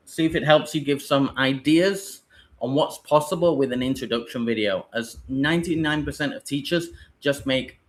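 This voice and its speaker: A man's voice: medium pitch, a bit bright. A British man leading a live online training session for teachers, explaining in a loose, rambling way.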